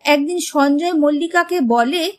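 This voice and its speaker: Female narrator for animated tales: high pitch, scripted storytelling delivery. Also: unhurried.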